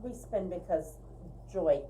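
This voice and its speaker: Woman, mellow tone, casual tutorial chat, emphatic, livestream co-host, faint background hum. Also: fairly low pitch, expressive, takes it slowly, low-quality recording. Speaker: co-host of a fiber arts livestream.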